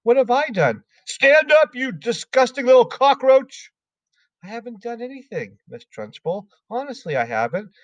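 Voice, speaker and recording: Storybook kid: man playing a small scared girl, high-pitched and earnest. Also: huge pitch swings, normal speed, few pauses, a little mellow, dry recording. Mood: pleading. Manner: pleading. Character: small frightened schoolgirl, earnest and pleading. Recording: dull lo-fi sound.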